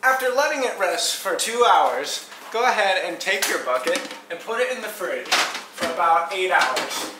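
A young man co-hosting a home cooking tutorial, cracking silly deadpan jokes as he explains the steps. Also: high voice, normal speed, distant mic, noisy background. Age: young adult. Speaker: cooking show co-host. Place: small home kitchen, indoors. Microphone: camera mic from a distance. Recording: echoey kitchen from off-mic.

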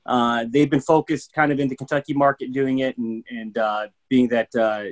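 A man's voice: medium pitch, steady tone, moderate pace. A middle-aged man from Michigan, a bourbon educator, talking casually on a video call from a room at home, rambling through many fillers with an eager, upbeat manner. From Michigan, USA. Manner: rambling, filler-heavy, enthusiastic.

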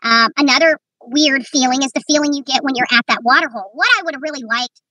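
A woman vlogger giving casual, rambling commentary to her viewers in an earnest, halting way, repeating herself. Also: high voice, sharp tone, dry recording, far from the mic, poor audio.

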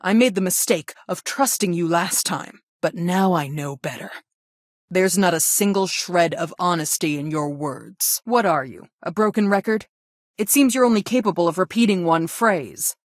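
Game role, for a woman actor: stern female guidance counselor, measured and reproachful, slightly low register, dry studio sound. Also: no echo, natural voice. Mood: stern, skeptical. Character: stern female school guidance counselor, adult, strict and disappointed. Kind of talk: video game voice acting, scolding lines.

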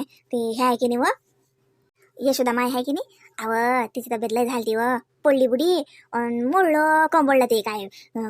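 A woman's voice: sits high, a bit bright, no echo, studio quality. A woman voicing chatty, homely village women in a family cartoon, with a rural Varhadi Marathi accent and a gossipy, colloquial manner. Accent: Varhadi Marathi, rural Vidarbha. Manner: gossipy, colloquial, drawn-out kinship exclamations.

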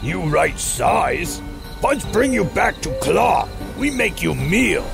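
Dim, gravelly mutant brute. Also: male voice, sits high, very animated, slow, music in the background, exaggerated voice. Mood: hungry, curious. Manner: broken caveman grammar, blunt and simple. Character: big dim childlike mutant brute who loves cooking. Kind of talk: audio drama acting.

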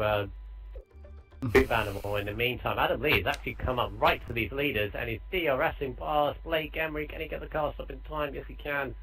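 A man with a mid-pitched voice commentates live on a racing game. His delivery is casual and conversational, following the cars as they go. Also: fairly bright tone, rough recording.

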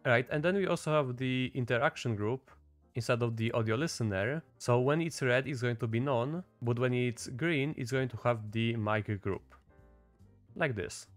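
A man's voice: medium pitch, normal speed, no room sound. Man narrating a casual coding tutorial over music. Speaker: tutorial host. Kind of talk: casual screen-recorded scripting tutorial. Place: voice-over at a computer.

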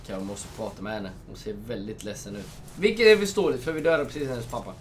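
A man's voice: on the low side, a little hiss or hum. A young man hosting a let's play, chatting casually, gushing and reading the game's menus aloud at his home setup. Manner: chatty, reads game menus aloud, gushes. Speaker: gamer, channel host. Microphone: desk microphone.

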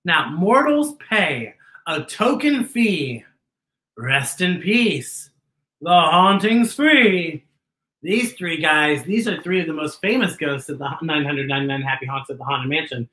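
A middle-aged man reading a picture book aloud to children with theatrical, exaggerated, drawn-out delivery. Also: on the high side, animated, pushed and bright, reverberant. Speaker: children's storytime host.

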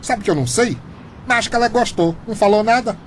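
An actor with a Brazilian accent playing an excitable, proud and naive eccentric husband in a comic radio soap. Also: male voice, sits high, fast talker, a little hiss or hum.

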